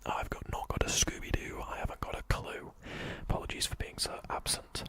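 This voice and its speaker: A man whispering playfully to viewers on an ASMR livestream into a fluffy microphone, repeating greetings and joking around. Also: fairly quick.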